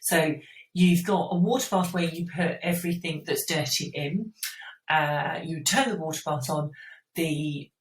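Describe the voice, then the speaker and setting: A woman's voice: low voice, measured pace. A woman teacher giving an informal step-by-step school science explanation, often checking in with the listener. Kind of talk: explanatory school revision lesson. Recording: roomy echo, distant microphone.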